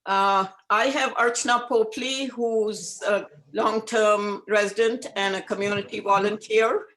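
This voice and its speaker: A man introducing guests at a club meeting in a flat listing manner. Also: sits high, a little slow, poor audio.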